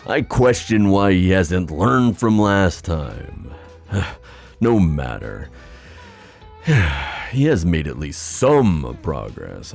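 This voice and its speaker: A man playing a playful, scheming sea god in an ASMR fantasy roleplay, theatrical and teasing, musing aloud in drawn-out phrases. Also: sits low, creaky, exaggerated voice.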